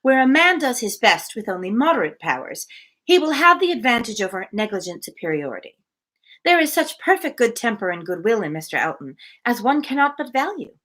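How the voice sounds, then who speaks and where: Woman reading as a self-assured young lady, animated. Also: fairly high pitch, fairly quick. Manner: formal, poised, confident.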